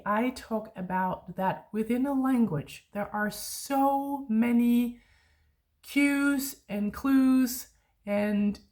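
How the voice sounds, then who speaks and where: A Danish woman who tutors Danish, talking casually to learners, chatty and reflective, often pausing to search for words. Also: mid-range voice, darkish tone. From Denmark. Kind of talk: casual online lesson talk.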